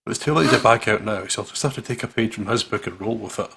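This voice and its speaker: A man's voice: lowish voice, fast, creaky, a bit bright, slightly rough. A man hosting a gaming video, reading story narration aloud dramatically and dropping into casual asides.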